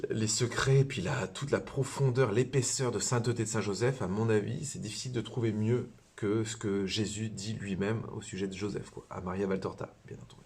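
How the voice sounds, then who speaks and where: A low, husky male voice of a priest from southern France talking informally about faith. He speaks haltingly, full of fillers and repeated starts, as if thinking aloud to his viewers. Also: fairly even intonation, darkish tone. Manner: hesitant, many fillers and restarts. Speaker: priest and religious brother. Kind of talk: informal spiritual teaching to camera.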